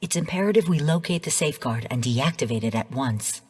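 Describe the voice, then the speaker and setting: A woman voicing a calm, calculating artificial intelligence in a video game, speaking formally and with measured, cool command. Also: low voice, breathy edge, long reverb tail. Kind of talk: scripted video game voice lines.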